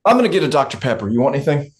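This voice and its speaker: A man playing a weary, wry, gruff middle-aged father in a screenplay table read. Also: fairly high pitch, sing-song, rapid-fire.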